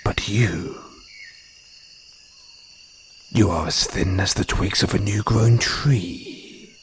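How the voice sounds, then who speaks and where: A man plays a male naga of the jungle, speaking deep and unhurried. He is formal and deliberate, a guarded creature with a tender, flirtatious streak. Insects chirp behind him in this intimate audio roleplay. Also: slight background noise. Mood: admiring, teasing. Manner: slow, deliberate, formal phrasing with pauses. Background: crickets and jungle insect sounds.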